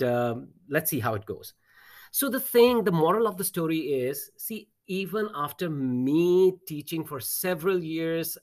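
A middle-aged man whose first language is Urdu, a math teacher telling a personal story as an interview guest, earnest and encouraging, often repeating words as he searches. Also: fairly high pitch.

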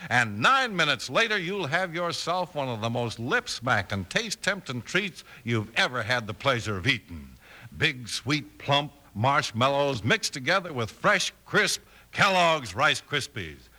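A man's voice: mid-range voice, pushed and bright. A man announcing a folksy old-time cowboy radio commercial with hearty, emphatic salesmanship. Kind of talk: folksy 1950s cowboy radio advertising pitch.

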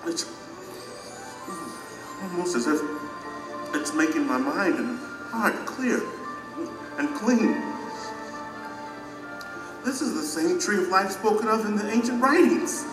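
Humble, remorseful servant, reverent and emotional, unhurried delivery, distant in a big reverberant hall with music. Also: male voice, high-pitched, lively intonation. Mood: awed, grateful. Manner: pleading, reverent, halting. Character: humble, remorseful male servant awed by his king. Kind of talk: emotional audio drama acting.

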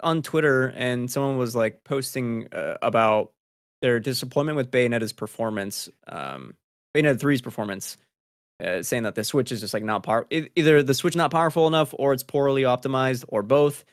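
A man's voice: mid-range voice, normal speed, dry recording. A man presents video game news as a podcast host, in a mid-range voice. He talks informally and slangily, like he is chatting with co-hosts. The sound is close and dry. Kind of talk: casual news chat among co-hosts.

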